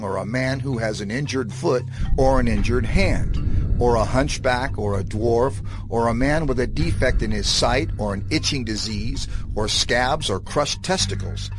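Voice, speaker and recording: A man giving a dramatized scripture reading in a solemn, measured, declamatory manner. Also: mid-range voice. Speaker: scripture reader. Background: prominent music.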